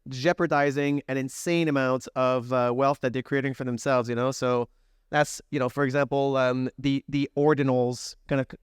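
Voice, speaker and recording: A man on a conference panel speaking in a halting way, with many restarts. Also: medium pitch, little pitch movement, clear, resonant tone, no room sound, clean studio sound. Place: conference stage.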